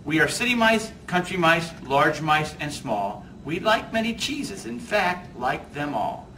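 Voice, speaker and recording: A wise, stately old mouse senator , read by a man, delivers storybook oratory in a bright voice. The delivery is deliberate and conciliatory, heard slightly off the mic with faint noise. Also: a little high, sing-song, vocal fry, recorded from a distance, pitched above the performer's own voice, heavily acted, low-quality recording. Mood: stately, persuasive.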